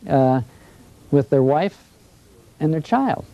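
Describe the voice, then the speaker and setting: A man's voice: on the high side, expressive, takes it slowly, vocal fry, lo-fi sound. A mature American man, a broadcaster, sharing anecdotes conversationally and acting out what others said to him. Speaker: radio broadcaster being interviewed. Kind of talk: conversational reminiscence.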